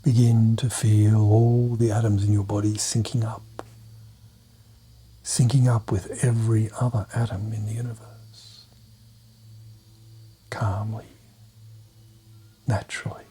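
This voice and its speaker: A man leading a guided meditation in a soft, breathy, half-whispered voice, calm and soothing, pausing between short phrases. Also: fairly low pitch, a little hiss or hum. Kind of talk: guided meditation, soft-spoken hypnotic suggestion.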